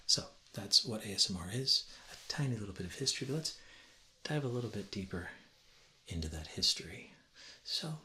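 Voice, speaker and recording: A man, perhaps in his thirties, gently and deliberately explaining things as a teacher in a soft-spoken ASMR roleplay lesson. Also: low-pitched. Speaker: ASMR creator playing a teacher. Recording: roomy echo with faint ambient noise.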